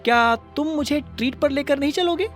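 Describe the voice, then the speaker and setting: A woman's voice: high voice, normal speed, faint music behind, close to an everyday voice. A woman playing a playful, inquisitive, pushy young rich woman in an audio drama, teasing and probing. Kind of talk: Hindi audio drama dialogue.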